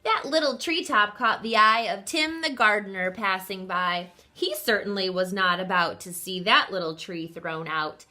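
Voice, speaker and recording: A woman reading a picture book aloud to children, expressive and putting questions to her young listeners. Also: mid-range voice, moderate pace, hardly any pauses. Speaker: children's story reader.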